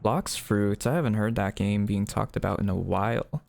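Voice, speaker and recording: A man's voice: lowish voice, moderate pace, vocal fry, a little mellow. A man live streaming in a casual, conversational way, reading viewers' messages aloud and replying to them. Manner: reads chat messages aloud and replies.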